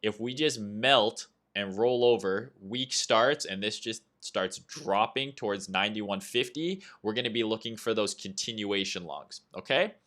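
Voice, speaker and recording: A man's voice: fairly low pitch, unhurried, hardly any pauses, fairly bright tone. A young Canadian man with a Canadian accent hosting a casual livestream, talking loosely through trading setups and replying to chat with lots of "uh" and "right?". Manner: loose and chatty, reads chat aloud, frequent "uh" and "right?". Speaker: livestream host, trading analyst. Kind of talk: casual livestream market commentary.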